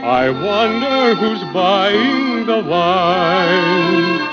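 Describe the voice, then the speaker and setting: Slow crooning man on an old record. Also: sits high, animated. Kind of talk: slow vintage ballad singing. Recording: muffled old record with loud music.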